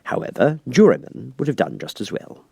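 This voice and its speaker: A man narrates a children's storybook expressively. His voice sits in a medium register but rises and falls dramatically, giving the reading a very animated shape. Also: medium pitch, fairly quick, gravelly, husky, no room sound. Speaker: audiobook reader.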